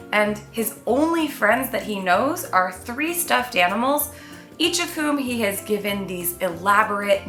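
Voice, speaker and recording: A woman with an enthusiastic, expressive delivery, presenting and reading a children's book aloud. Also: medium pitch. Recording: echoey room, faint music behind.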